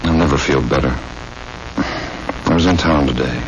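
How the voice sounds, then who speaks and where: A gloomy, burdened forester, speaks in a very low voice. He is grave and reluctant, faltering as he goes. The old radio drama recording is muffled, with clear noise. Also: moderate pace. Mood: troubled, bleak. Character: troubled, guilt-laden forester husband, gloomy. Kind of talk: 1970s radio drama acting. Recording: muffled, hissy vintage radio broadcast.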